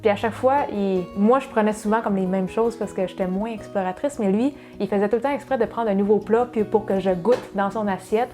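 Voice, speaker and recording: A woman from Quebec with a Québécois accent chats through a relaxed Q&A, quick and full of restarts. Her voice is mellow and soft-edged, with faint music playing under it. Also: mid-range voice. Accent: Québécois French. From Quebec, Saguenay. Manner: chatty, fast, with many fillers and restarts. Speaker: cooking channel co-host. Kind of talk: casual Q&A chat.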